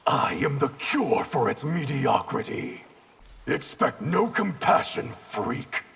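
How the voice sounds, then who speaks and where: A man voicing a stern, guarded warrior in a fighting game, with a husky, rough voice. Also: mid-range voice, very animated, takes it slowly, a bit bright, heavily acted. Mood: cold, stern. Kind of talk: fighting game character dialogue.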